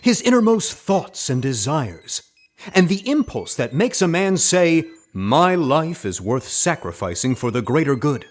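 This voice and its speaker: A man's voice: a little high, very animated, a little slow. Man playing a theatrical, self-important actor with swooping delivery. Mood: earnest, lofty. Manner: grandiose, dramatic, earnest. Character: self-important, theatrical male actor turned undercover spy. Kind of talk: scripted comedy audio drama acting.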